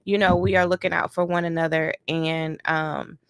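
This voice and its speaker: A woman's voice: on the low side, flat delivery, normal speed, smooth, dry recording. A woman from Detroit who grew up in Germany, chatting casually to her viewers with lots of "you know" and "right". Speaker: reaction vlogger. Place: home, doing a facial on camera.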